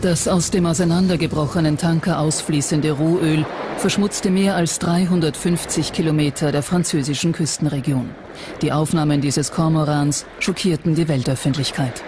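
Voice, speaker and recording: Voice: mid-range voice, steady tone, normal speed, background noise. A documentary narrator reading a scripted text in a factual documentary style. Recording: music bed under narration. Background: background music.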